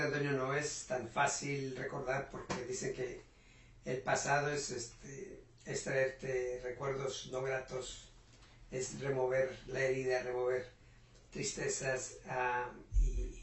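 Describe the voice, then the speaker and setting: A man's voice: medium pitch, level tone, unhurried, a bit bright, a little hiss or hum. A man with a Mexican accent, a guest in an interview at home, talking earnestly and hesitantly with many fillers about motivation. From Puebla, Mexico. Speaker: interview guest, business owner. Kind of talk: reflective motivational talk. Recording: roomy echo, voice from a distance.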